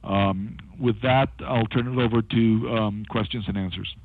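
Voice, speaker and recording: Halting, low-voiced man on a phone line. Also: fairly even intonation, slight background noise. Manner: hesitant, halting delivery with frequent long filled pauses. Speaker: company executive presenting on an earnings call. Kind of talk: business presentation on a conference call. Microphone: telephone. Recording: thin, narrow-band telephone conference line.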